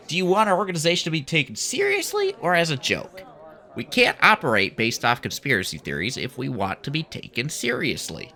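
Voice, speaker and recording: An actor playing an indignant, principled activist arguing emphatically in an audio drama. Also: male voice, on the high side, sharp tone, heavily acted. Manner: emphatic, argumentative. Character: indignant, principled alien-rights activist, sticks to facts.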